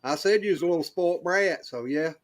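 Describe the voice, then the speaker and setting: Teasing man, higher voice. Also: brisk. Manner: teasing joker. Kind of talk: casual chat.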